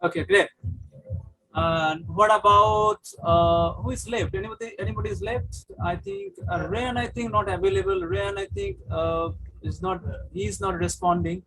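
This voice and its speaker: A man from India hosting a casual online group chat, talking with pauses and repeated phrases over a video call. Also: sits high, fairly even intonation, fairly bright tone, low-quality recording. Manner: hesitant, repeats himself. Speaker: host.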